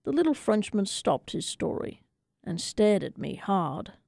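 A woman reading a story dramatically for radio, with a theatrical, deliberate delivery. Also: medium pitch, very animated, gravelly, a little mellow, no echo, studio quality. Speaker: storyteller, audiobook reader. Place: radio studio.

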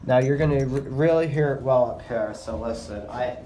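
A man's voice: mid-range voice, warm tone, slight background noise. A young man narrating his own handheld walkthrough of a mall elevator, admiring the fixtures and remarking to himself as he goes. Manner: enthusiastic, slow and remarks to himself. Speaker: elevator video channel host. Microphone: handheld phone camera. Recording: echoey elevator with faint ambience.

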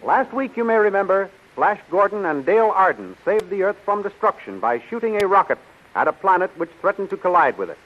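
A man's voice: sits high, big pitch swings, brisk. A man with an American accent narrating a 1930s radio serial in a dramatic, declamatory way. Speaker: announcer and narrator. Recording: muffled, hissy 1930s radio recording.

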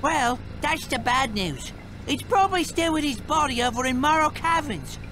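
High-pitched scrappy robot pirate. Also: male voice, animated, normal speed, bright, forceful tone, a little hiss or hum, full character voice, low-quality recording. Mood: wheedling, chummy. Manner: colloquial pirate talk, cartoonish. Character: scrappy, shifty rusty robot pirate, eager to bargain. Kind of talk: video game character acting.

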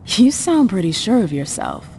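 A woman's voice: mid-range voice, wildly swooping pitch, moderate pace, gravelly, warm tone, slight background noise. A woman playing a cool, world-weary vampire club owner in a video game, sardonic and self-assured.

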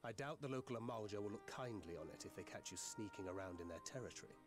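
An actor playing a dry, cautious adventurer in a fantasy game. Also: male voice, lowish voice, animated, fast, over music, close to an everyday voice. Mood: cool, businesslike. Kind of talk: game cutscene voice acting.

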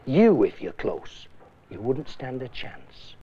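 A man's voice: mid-range voice, sing-song, slow, husky, heavily acted. A man playing an electricity board lecturer giving an emphatic warning in an instructional film, on a muffled old soundtrack. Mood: grave warning.

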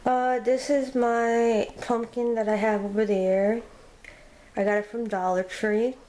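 A woman filming a casual tour of her home and naming each item as she goes, pausing and restarting often. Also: fairly high pitch, level tone, warm tone. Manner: halting, with frequent ums and restarts. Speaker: vlogger giving a home decor tour. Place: inside a home, walking room to room. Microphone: handheld camera held by the speaker.

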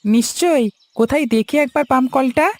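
A woman's voice: sits high, fairly quick, slight background noise, close to an everyday voice. A woman voicing a helpful, dutiful young village handyman in a cartoon story, earnest and polite. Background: background music.

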